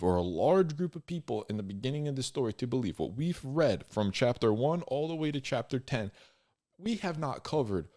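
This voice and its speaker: A man giving informal, off-the-cuff Bible commentary in a conversational, halting way, repeating phrases for emphasis. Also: medium pitch, warm tone. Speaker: Bible storyteller and commentator, host.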